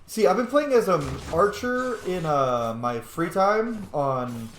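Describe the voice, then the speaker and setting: A man's voice: sits high, very animated, takes it slowly, a bit bright, roomy sound, slight background noise. A man giving casual, rambling let's play commentary as he games, with informal language and some swearing. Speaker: gaming video host.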